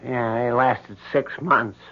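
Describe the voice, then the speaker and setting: An actor playing a gloomy, gossipy apartment superintendent in an old radio drama, laconic, folksy and matter-of-fact. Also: male voice, mid-range voice, fairly even intonation, takes it slowly, bright, forceful tone. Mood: ominous, matter-of-fact. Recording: muffled old radio recording.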